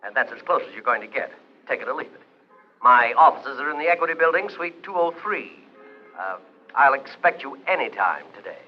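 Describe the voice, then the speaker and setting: A dull old radio recording carries a actor as a mob attorney. He is formal and guarded, with veiled threat under the politeness, and his pitch moves widely across his lines. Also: expressive, fairly quick, lightly acted. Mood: guarded, businesslike. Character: smooth, guarded, quietly menacing male lawyer for a gangster. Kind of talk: 1950s radio noir acting. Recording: muffled vintage radio recording, faint music.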